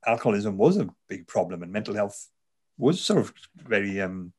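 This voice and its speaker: A Welsh man with a fairly low voice talks through an interview. His talk is loose and chatty, full of "you know" and false starts. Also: big pitch swings, normal speed, darkish tone, slightly rough. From South Wales. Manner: chatty, frequent fillers and restarts. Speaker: author, neuroscientist. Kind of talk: conversational interview.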